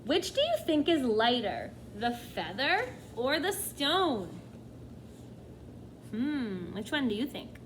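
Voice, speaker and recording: A woman's voice: high voice, huge pitch swings, slow, slight background noise. A woman teacher reading a nonfiction book aloud to children, expressively, often addressing them directly with questions and asides.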